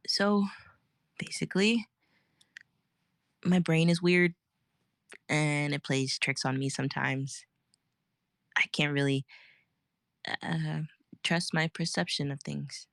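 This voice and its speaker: A woman actor reading a shy, hesitant character in a halting voice. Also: low voice, no room sound, clean studio sound. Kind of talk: dramatic script reading.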